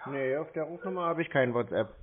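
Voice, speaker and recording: A man's voice: fairly high pitch, animated, brisk, crowd noise. A man in a casual phone conversation, talking as a customer over a tinny line. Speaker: scam-baiting show host posing as a customer on the phone.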